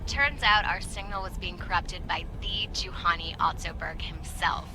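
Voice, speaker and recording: A woman's voice: lowish voice, steady tone, moderate pace, lightly acted, poor audio. An actress playing a cautious, protective female doctor in a video game, careful and worried about her friend. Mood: reassuring. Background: game music.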